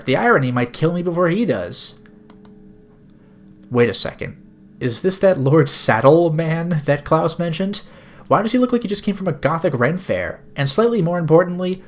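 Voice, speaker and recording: Captive young heroine, read by a man: drowsy, sarcastic, exasperated, highly animated game dialogue. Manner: wry, exasperated internal monologue.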